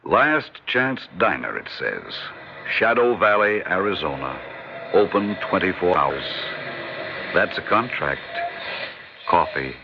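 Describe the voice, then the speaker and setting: An actor playing a mysterious, solemn truck driver who speaks of death in a 1950s radio drama. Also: male voice, slow, gravelly, exaggerated voice. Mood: ominous calm. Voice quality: deep, calm, solemn and cryptic. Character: ominous mysterious male trucker, death-like stranger. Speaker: stranger at a diner. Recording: dull old radio recording with faint hiss.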